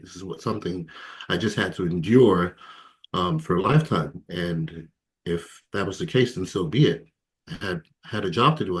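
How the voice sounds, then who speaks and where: A man in his thirties from Ghana, telling his personal story as an interview guest, reflective and hesitant, with many fillers and soft laughs. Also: deep voice. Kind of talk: candid personal testimony.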